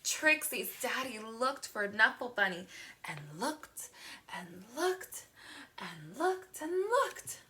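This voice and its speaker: A woman reading a picture book aloud to young children in an expressive teacher's manner, drawing words out. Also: fairly high pitch, slow pace, background noise. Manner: expressive, asks the listener questions, gasps, draws words out.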